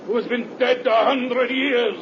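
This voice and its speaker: An actor playing a stern, relentless vampire-hunting doctor in a grave, theatrical 1930s radio horror play. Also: male voice, very high voice, fairly even intonation, unhurried. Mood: grim, solemn. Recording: dull, noisy 1930s radio recording.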